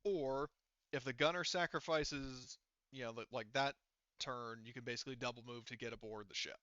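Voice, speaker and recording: Bright, crisp-voiced man running a game. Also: medium pitch, normal speed, no room sound, muffled recording. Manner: thinks aloud, casual swearing. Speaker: game master. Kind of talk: casual tabletop game narration.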